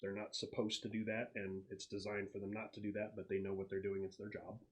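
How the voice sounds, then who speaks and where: A man's voice: fairly low pitch, monotone, fast. A man narrating a tabletop roleplaying game as game master, explaining things in a casual, improvised way.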